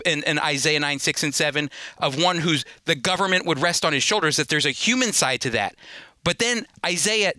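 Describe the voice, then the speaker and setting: Bright, forceful man teaching the Bible on radio. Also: fairly high pitch, no room sound. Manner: earnest, emphatic, deliberate phrasing.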